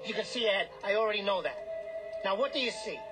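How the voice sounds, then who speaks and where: An actor playing a desperate, greedy small-time gambler, brash and pushy, in a radio drama. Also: male voice, high voice, animated, quick pace, over music. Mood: demanding. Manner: brash, wheedling, slangy, bullying.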